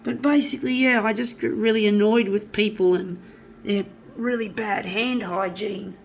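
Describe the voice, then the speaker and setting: Woman, hoarse, stuffed-up nose, rambling and sweary, faint background hiss. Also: medium pitch, steady tone, normal speed. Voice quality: blocked nose. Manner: rambling, chatty, swears freely. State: hoarse from flu. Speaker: artist. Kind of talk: casual talk while working.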